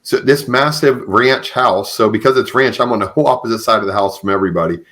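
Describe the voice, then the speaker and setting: A man's voice: medium pitch, fast, a bit bright. A man hosting a podcast, chatting casually and informally as an interviewer. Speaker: podcast host.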